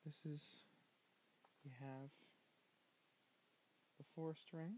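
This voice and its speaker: A young man with a soft, gentle voice talks a listener through a board game, often sinking into a breathy whisper. His voice sits a little high for a man, and he hesitates with ums as he goes. It sounds picked up by a handheld camera mic. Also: level tone, slow, a little hiss or hum, poor audio. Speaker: ASMR board game teacher. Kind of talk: soft-spoken ASMR tutorial, often whispering.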